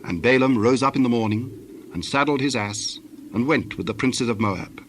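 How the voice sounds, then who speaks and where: A man's voice: mid-range voice, moderate pace, a little hiss or hum. A man narrating scripture from a script for a dramatized reading.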